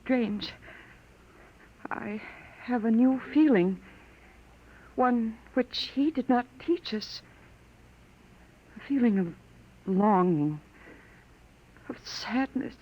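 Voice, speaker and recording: A slow, wistful artificial woman. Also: on the high side, animated, husky, full character voice. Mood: longing, wonder. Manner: slow, soft-spoken, wistful. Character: gentle, wistful artificial woman discovering feeling. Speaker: radio drama actor. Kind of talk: 1950s radio drama acting. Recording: muffled vintage 1950s radio recording.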